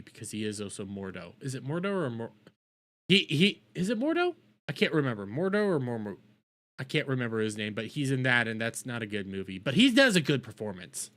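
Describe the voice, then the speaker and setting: A man's voice: mid-range voice, fairly bright tone. A man hosting a casual live reaction stream, talking bluntly with swearing and repeating phrases for emphasis. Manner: blunt, profane, opinionated.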